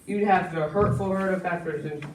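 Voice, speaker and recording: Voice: medium pitch, normal speed, close to an everyday voice. A young performer playing a scheming, falsely genial swindler in an amateur stage melodrama, with theatrical, emphatic delivery. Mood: slyly persuasive. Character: smooth-talking scheming villain swindler, fake government man in top hat. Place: school stage, far camera in audience. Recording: echoey hall from far off, noisy.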